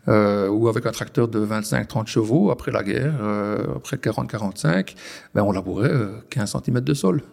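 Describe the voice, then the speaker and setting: Gravelly Belgian farmer, halting speech. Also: male voice, fairly low pitch, expressive, a little mellow. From Belgian Ardennes. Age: 40s. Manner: hesitant, many restarts and fillers. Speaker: farmer guest. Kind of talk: conversational interview. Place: on a farm.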